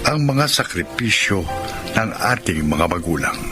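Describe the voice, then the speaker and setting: A man announcing a radio drama program, giving the moral of the story and reading the credits in a measured way. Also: on the low side, very animated. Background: loud background music.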